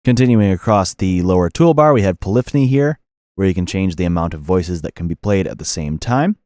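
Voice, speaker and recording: A man narrating a software tutorial as an instructor, in a methodical, explanatory way. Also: deep voice, lively intonation, a little fast, no room sound, studio quality.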